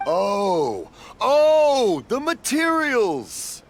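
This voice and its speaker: A man's voice: high-pitched, wildly swooping pitch, very slow, heavy background noise, full character voice. A man playing a cheerful, elderly, hard-of-hearing father and craftsman in a video game, hearty and muddled. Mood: dawning realisation. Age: older. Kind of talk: animated game voice acting.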